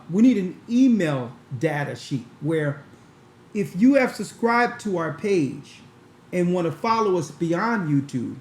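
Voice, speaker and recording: A man, an elder of the church, talking quickly on a call-in show and often restarting his sentences. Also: high-pitched, a little mellow, echoey room, slight background noise. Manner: fast, rambling, restarts. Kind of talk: talk show commentary.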